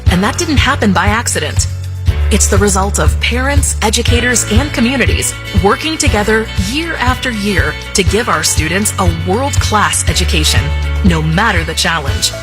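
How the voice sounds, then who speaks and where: A woman's voice: medium pitch, animated, normal speed, over music. A woman narrating a scripted public-service radio advert.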